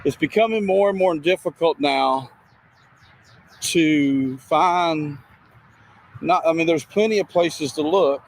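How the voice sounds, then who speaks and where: A man narrating casually on location as a video streamer, speaking haltingly with pauses between phrases. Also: fairly high pitch, gravelly. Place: outdoors by a road and woods. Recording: outdoor noise, distant freeway. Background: freeway and ambient outdoor noise.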